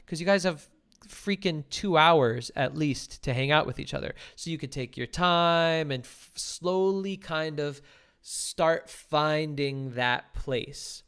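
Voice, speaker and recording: A man coaching two guests through a practice date, speaking with encouragement and plain instructions. His voice sits a little high for a man and comes across smooth and clean. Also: takes it slowly, fairly bright tone. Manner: encouraging, direct, gives instructions. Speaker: relationship coach and host. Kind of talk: coaching speed-dating guests.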